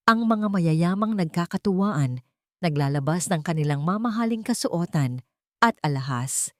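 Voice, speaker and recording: A woman storyteller reading a scripted, dramatic narration with measured phrasing. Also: fairly low pitch, a little mellow, no room sound, clean studio sound.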